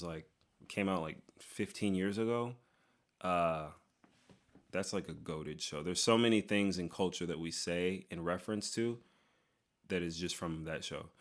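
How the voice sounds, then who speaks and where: Joking young man with a lowish voice. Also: a bit bright. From Texas, USA. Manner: quick, joking, sarcastic asides. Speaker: YouTuber host. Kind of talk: casual Q&A reading comments.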